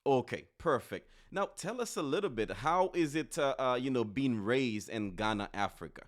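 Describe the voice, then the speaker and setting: A man from Haiti hosting a podcast in an upbeat, chatty way, often repeating words and pausing on fillers. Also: mid-range voice, animated. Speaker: podcast host.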